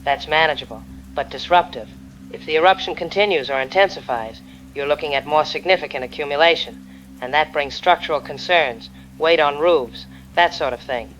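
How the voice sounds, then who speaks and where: A man's voice: on the high side, moderate pace, fairly bright tone, slight background noise, natural voice, poor audio. A man playing a plain-spoken expert guest who explains hazards on a news broadcast. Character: practical male expert on ashfall. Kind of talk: broadcast interview.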